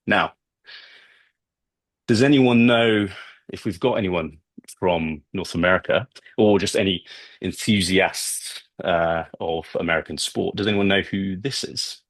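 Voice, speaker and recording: A British man delivers an academic lecture in a deep, husky voice, close and dry. His delivery is hesitant and discursive, moving between prepared text and asides. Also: a little slow, no room sound. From Britain. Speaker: guest lecturer, researcher of ancient history. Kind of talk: academic talk with slides, semi-scripted.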